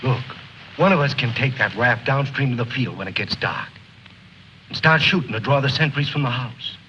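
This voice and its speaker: Bitter, edgy soldier. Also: male voice, mid-range voice, lively intonation, moderate pace, bright, forceful tone. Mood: insistent, mocking. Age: 30s. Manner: brooding, insistent, sardonic. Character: bitter, restless soldier in his thirties, itching for glory. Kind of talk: mid-century American film drama acting. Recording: muffled, hissy old film soundtrack.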